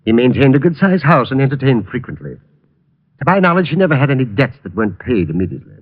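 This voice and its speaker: Husky, deferential butler, reverberant old radio. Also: male voice, mid-range voice, very animated, muffled recording. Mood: formal, measured. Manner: proper, polite, measured. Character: formal, deferential male butler, uneasy under questioning. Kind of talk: 1940s radio detective drama acting.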